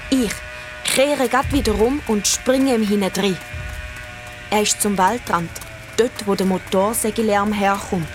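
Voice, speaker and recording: Woman playing dreamy schoolkid w swiss german accent narrating radio play, slow n thoughtful, music behind. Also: medium pitch, close to an everyday voice. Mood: tense, urgent. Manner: musing, childlike, thinking aloud. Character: earnest, imaginative schoolchild narrating their forest adventure. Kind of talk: first-person radio play narration for children.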